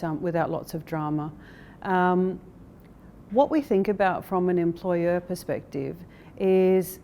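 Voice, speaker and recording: A woman speaking as a company director in a corporate interview, explaining things in a measured way. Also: on the low side, warm tone, slight background noise. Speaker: interviewee, managing director of an investment firm.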